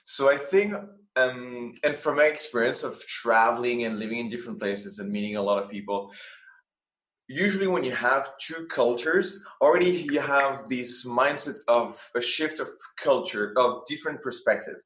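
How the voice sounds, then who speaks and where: A man's voice: medium pitch, normal speed, fairly bright tone, echoey room, low-quality recording. A man from France, a guest talking earnestly over a video call and often restarting his phrases. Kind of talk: conversational interview.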